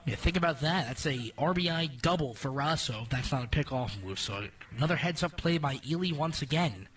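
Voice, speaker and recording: Male color commentator for radio baseball, fairly high voice, conversational analysis, a little off the mic. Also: animated, brisk, a bit bright, far from the mic. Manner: conversational, explanatory.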